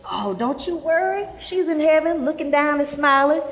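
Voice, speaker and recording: Woman as high-voiced proud mom, echoey hall. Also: animated, moderate pace. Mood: reassuring. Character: proud, reassuring mother with faith. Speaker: actor in a stage play. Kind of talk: live stage play acting. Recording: echoey hall, faint background noise.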